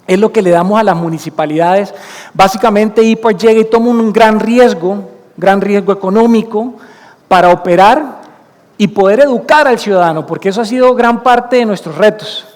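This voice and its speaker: A man with a Colombian accent giving an earnest conference talk, explaining his points and slowing down to stress the key ones. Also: high voice, a little mellow. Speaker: conference speaker. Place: conference hall stage. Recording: long hall reverb from a conference room.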